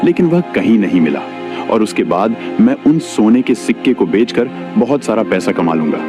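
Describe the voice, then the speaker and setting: A man's voice: fairly low pitch, sing-song, normal speed. Man playing a sly greedy villager, music behind. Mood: scheming. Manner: sly, falsely reassuring. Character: greedy, scheming adult village labourer, deceitful. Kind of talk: Hindi moral story cartoon.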